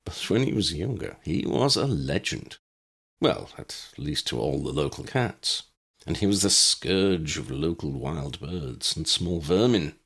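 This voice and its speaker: A man voicing a calm, courteous old man in an audiobook, speaking in a measured, formal and unruffled way. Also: very deep voice, gravelly, husky, full character voice. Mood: genial. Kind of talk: audiobook character voice.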